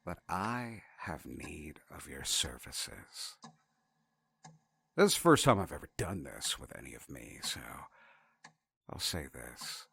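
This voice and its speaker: A man playing a sardonic, foul-mouthed, fiercely protective wanderer from another reality in an audio drama, halting and muttering. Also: very deep voice, gravelly, a bit bright, husky, no room sound, exaggerated voice. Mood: wry, guarded.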